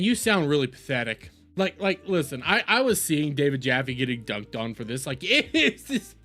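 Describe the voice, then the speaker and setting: Male reaction host, high and bright voice, exasperated, mocking rant with emphatic repetition. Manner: argumentative, exasperated, mocking, repeats phrases for emphasis. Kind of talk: heated opinion commentary, casual rant.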